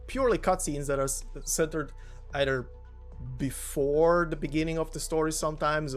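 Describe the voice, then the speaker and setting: A man hosting a story narration channel, giving an informal, slightly halting spoken introduction. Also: fairly high pitch. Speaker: narrator and host.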